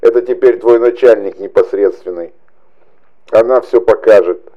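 A man's voice: lowish voice, normal speed, highs cut off. A man playing a blunt, earthy army colonel giving orders over the telephone in a radio play. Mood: gruff, ordering. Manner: blunt, profane, bossy. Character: gruff, earthy male NKVD colonel.

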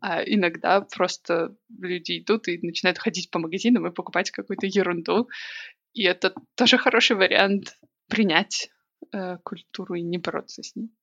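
Woman, gravelly voice, reflective, thinking aloud, dull lo-fi sound. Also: fairly low pitch, fairly even intonation, moderate pace, fairly bright tone. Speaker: podcast guest. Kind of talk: casual interview talk. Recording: dull lo-fi recording.

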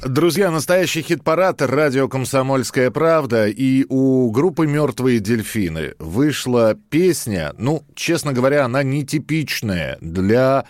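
A man's voice: mid-range voice, animated, a little slow, hardly any pauses, a little mellow, no room sound, clean studio sound. A man hosting a radio chart show, talking in an easy conversational way with hesitant fillers. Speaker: radio host. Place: radio studio.

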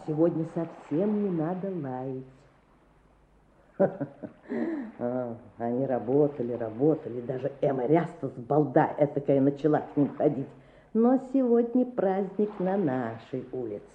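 Sly old villager, slow muttering to a dog; very muffled, echoey radio play. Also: female voice, deep voice, lively intonation. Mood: gleeful, secretive. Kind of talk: Soviet-style radio play acting.

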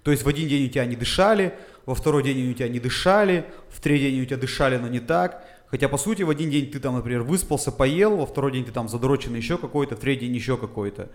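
A man's voice: medium pitch, fast. A man, a fitness coach, talking casually on a podcast, explaining things step by step with frequent "uh" fillers. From Urals, Russia. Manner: methodical explainer with filler sounds.

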